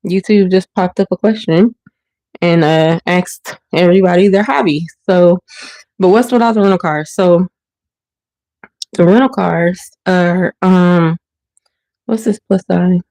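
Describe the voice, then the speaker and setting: Casual home streamer, woman, slightly low voice, stuffy and sniffly, hesitant and meandering, dry kitchen recording. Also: gravelly, mellow, no echo. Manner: rambling, hesitant, many ums and restarts. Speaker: livestream host. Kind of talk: casual livestream chat. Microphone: phone or webcam while washing dishes.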